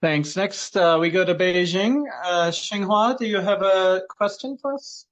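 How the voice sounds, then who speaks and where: A man's voice: high voice, a little slow, muffled recording. A man moderating a question-and-answer session, calling on participants and relaying their questions.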